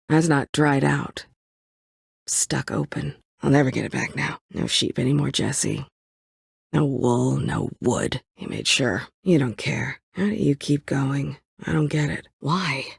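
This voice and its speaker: A woman's voice: low-pitched, vocal fry, darkish tone, slightly rough, dry recording, studio quality, full character voice. An actress playing a bitter, world-weary woman survivor set on vengeance in a story video game, brooding, curt and dryly sardonic. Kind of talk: dramatic video game voice acting.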